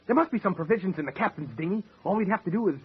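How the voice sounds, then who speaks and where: An actor playing a reluctant male sidekick, husky and sarcastically complaining, in an old-time radio adventure. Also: sits high, animated, racing, full character voice. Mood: exasperated. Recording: dull old-radio style sound.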